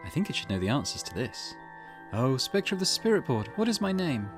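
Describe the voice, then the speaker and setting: An eager teenage schoolgirl from a visual novel, read out by a man. She is feisty yet uncertain, and her pitch swings about in an animated way. Clear music plays behind the dialogue. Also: fairly quick, lightly acted. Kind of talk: video game dialogue read aloud.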